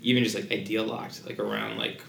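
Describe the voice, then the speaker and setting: A man's voice: fairly low pitch, little pitch movement, brisk, vocal fry, echoey room, background noise. A man co-hosting a casual podcast, talking hesitantly with many fillers.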